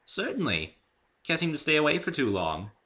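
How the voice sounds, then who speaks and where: A man voicing a refined, gossipy female unicorn fashion designer in a story reading, posh and dramatic with drawn-out emphasis. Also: fairly high pitch, very animated, bright, forceful tone, voice pushed up, exaggerated voice. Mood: gracious.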